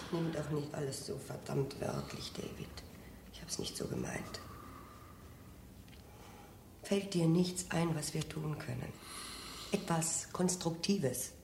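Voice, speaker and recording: A woman playing a desperate, melodramatic married mistress in a German radio crime drama, dropping into breathy whispers. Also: sits low, takes it slowly, long pauses, full character voice. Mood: strained, skeptical. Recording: roomy old radio drama, faint hiss.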